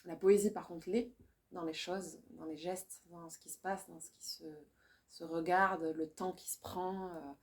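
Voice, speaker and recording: A woman poet, talking in a relaxed discussion, often hesitating and restarting as she searches for words. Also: lowish voice, measured pace. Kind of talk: casual discussion.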